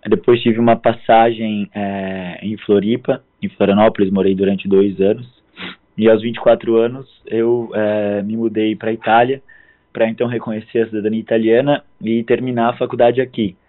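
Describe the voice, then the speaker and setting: A hoarse man in his thirties from southern Brazil, a guest musician speaking politely and hesitantly in a phone interview. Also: lowish voice, level tone, moderate pace. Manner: hesitant, filler-heavy, polite. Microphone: phone line. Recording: tinny phone line.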